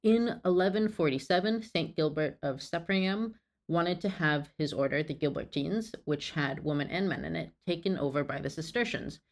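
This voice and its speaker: A woman's voice: sits low, normal speed, a little hiss or hum. A woman narrating a scripted history lesson, earnest, with apologetic asides. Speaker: history video creator.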